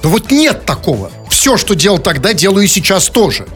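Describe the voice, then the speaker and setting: A man's voice: sits high, big pitch swings, brisk, a bit bright. A man hosting a casual comic radio show, bantering in a mock-serious, digressive way with teasing rhetorical questions. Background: music.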